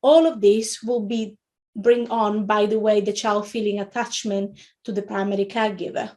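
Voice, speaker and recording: A middle-aged woman from Italy with an Italian accent, giving an informal educational webinar talk in a halting, often rephrasing way. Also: mid-range voice, fairly even intonation, warm tone. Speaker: webinar presenter, early years educator.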